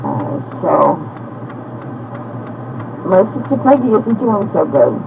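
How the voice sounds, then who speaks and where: Older woman in her 60s, slow rambling diary talk, old hissy cassette tape. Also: medium pitch, sing-song, gravelly, muffled recording. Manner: slow and halting, many pauses and fillers. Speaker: woman recording a personal audio diary.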